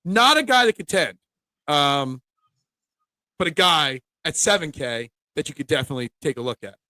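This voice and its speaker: A man's voice: fairly high pitch, expressive, normal speed, pushed and bright, no echo, rough recording. A man hosting a casual sports betting preview show, chatting with his co-hosts.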